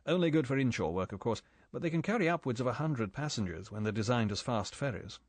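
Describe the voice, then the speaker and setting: Man as a brisk english agent, matter-of-fact, mid pitch, dry. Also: animated, no room sound, close to an everyday voice. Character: confident English male secret agent, brisk.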